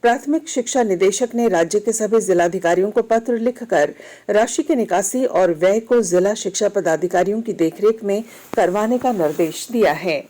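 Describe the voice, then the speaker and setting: A woman reads a scripted regional news bulletin in a measured, formal manner. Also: mid-range voice, dark tone. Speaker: news reader.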